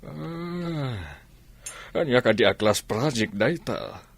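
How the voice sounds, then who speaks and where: A man's voice: mid-range voice, lively intonation, slow, vocal fry, full character voice. A man with an Ilocano accent playing a courteous, generous older businessman in a radio comedy drama, speaking politely and a little hesitantly. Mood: curious, polite. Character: courteous, generous older businessman befriending two college girls.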